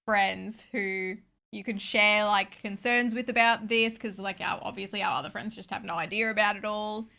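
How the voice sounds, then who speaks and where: A woman in her late twenties chats in a bubbly way and laughs easily. Her voice sits at an ordinary pitch for a woman. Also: fairly even intonation, creaky, bright, forceful tone. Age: young adult. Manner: bubbly, quick to laugh, reads out questions. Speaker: co-host of a reaction channel. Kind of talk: casual chat.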